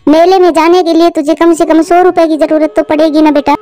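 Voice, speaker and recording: A woman's voice: very high-pitched, little pitch movement, fairly quick, mellow, soft background music. A woman voicing a caring, worried mother bird from a poor family in a cartoon.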